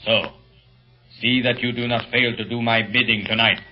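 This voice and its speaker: An actor playing a boastful, domineering criminal mastermind in a 1930s radio serial, cold, theatrical and threatening. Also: male voice, medium pitch, level tone, moderate pace, lightly acted. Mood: gloating menace. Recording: muffled old radio recording, faint music.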